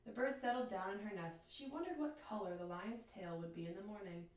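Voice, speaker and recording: A woman reading a children's storybook aloud like a teacher, with expressive, deliberate phrasing. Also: medium pitch. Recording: echoey room, distant microphone.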